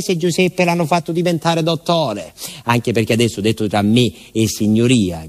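A man's voice: medium pitch, close to an everyday voice. Actor as a talkative southern Italian village postman. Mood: boastful, animated. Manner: colloquial, confiding, rambling, addresses a listener directly. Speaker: stage actor performing a monologue. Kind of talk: theatrical first-person narrative monologue.

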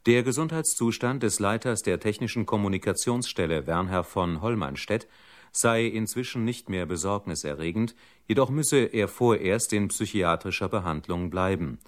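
An actor playing a sober, formal newsreader delivering a scripted bulletin in a radio drama. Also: male voice, fairly low pitch, expressive, normal speed, close to an everyday voice.

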